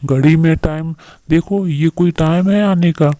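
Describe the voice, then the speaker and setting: A man's voice: fairly high pitch, measured pace, warm tone, no echo, natural voice. A man voicing a stern, scolding showroom boss in a cartoon story, accusing and lecturing. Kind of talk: Hindi moral-story cartoon dubbing.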